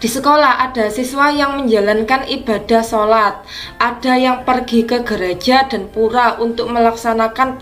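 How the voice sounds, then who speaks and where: A woman teacher going through practice exam questions, explaining each answer slowly and deliberately. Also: fairly high pitch.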